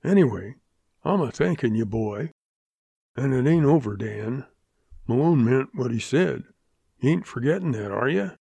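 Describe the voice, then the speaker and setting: A man's voice: medium pitch, expressive, normal speed, gravelly, pauses often, warm tone, breathy edge, no room sound. A man voicing a folksy, frank older man with rustic Western phrasing in an audiobook. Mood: doubtful, concerned.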